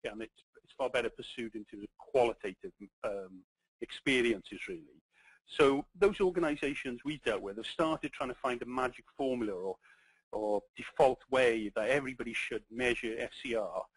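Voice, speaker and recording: A middle-aged man with a Welsh accent presenting a business webinar, pausing often with ums and restarting his sentences. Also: mid-range voice, breathy edge, no echo, poor audio. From Wales. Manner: halting, many ums and restarts.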